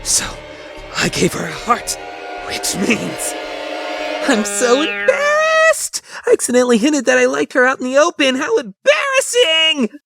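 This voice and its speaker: A man's voice: very high voice, huge pitch swings, a little slow, vocal fry, sharp tone, soft background music, exaggerated voice. A man voicing an awkward, earnest teenage schoolboy with a crush in an anime fandub, hesitant and easily flustered. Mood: panicked, embarrassed. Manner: flustered, hesitant, overthinking monologue. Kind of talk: anime fandub radio play.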